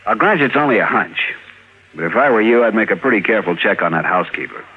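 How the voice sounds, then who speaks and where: Low-voiced insurance boss. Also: male voice, lively intonation, fast talker, slightly rough. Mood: suspicious. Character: businesslike male insurance company manager, suspicious. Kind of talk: 1950s radio acting. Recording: tinny, slightly noisy vintage radio recording.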